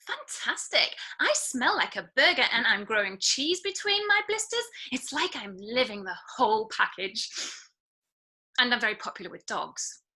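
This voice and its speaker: A woman's voice: a little high, big pitch swings, normal speed, bright, forceful tone, slightly rough, heavily acted. A woman playing a harried, wry young working mother in a script table read, with sardonic banter. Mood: upbeat, wry. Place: remote video call table read. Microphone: video call.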